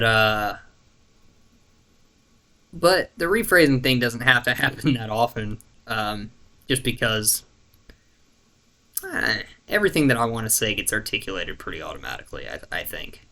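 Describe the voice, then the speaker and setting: A man rambling to the camera in a relaxed, self-deprecating way, stopping often to hunt for the right word. His voice sits at a middle pitch with a lot of creaky fry. He fills the gaps with ums, likes and a casual swear. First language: not French. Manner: rambling, searching for words, frequent fillers and restarts. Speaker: YouTuber.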